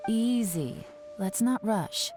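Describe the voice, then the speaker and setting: An actress playing a composed, shrewd and coolly commanding female spymaster in a video game, in a smooth voice. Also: on the high side, animated, slow pace, darkish tone. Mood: knowing. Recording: faint game music behind the voice.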